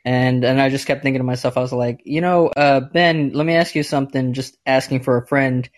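A man's voice: medium pitch, fairly even intonation, brisk. A man calls in to a podcast and chats freely. He jokes as he goes and trips over his phrasing, backing up and trying again in a loose, friendly way. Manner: chatty, jokey, stumbles and restarts. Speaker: caller. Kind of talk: casual call-in chat.

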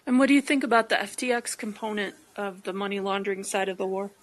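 A woman's voice: medium pitch, fast. A woman hosting a podcast, discussing politics in conversation. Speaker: podcast host.

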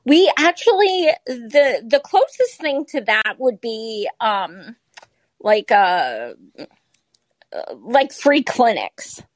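A woman's voice: a little high, big pitch swings, slow pace, no room sound. A woman organizer and journalist, explaining casually on a podcast with lots of fillers. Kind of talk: podcast conversation.

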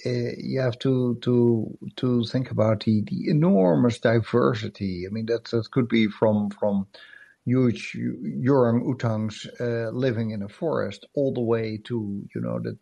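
A man co-hosting a podcast in casual chat, losing his voice and clearing his throat, often repeating words as he restarts his thoughts. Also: medium pitch, warm tone. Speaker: podcast co-host. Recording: call-in app audio.

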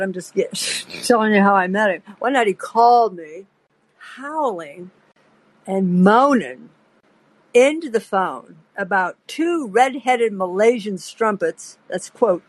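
A woman's voice: mid-range voice, dry recording, rough recording. An older woman hosting a podcast, telling long, digressive anecdotes in a chatty way and breaking off to laugh. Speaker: podcast host. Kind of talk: rambling anecdotal storytelling.

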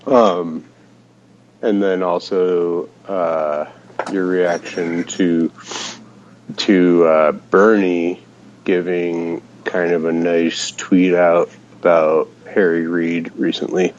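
Deep-voiced sniffly man calling in. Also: little pitch movement, drawn-out words, a little mellow, muffled recording, slight background noise. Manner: hesitant, filler-heavy, polite. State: sniffly from the cold. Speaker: caller. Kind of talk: casual phone-in question. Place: outdoors in the cold.

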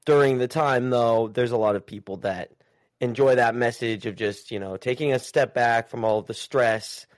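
A man's voice: mid-range voice, moderate pace. A man hosting a casual call-in interview, friendly and supportive, backing the guest up with frequent "yeah" and "uh-huh". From United States. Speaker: interview host.

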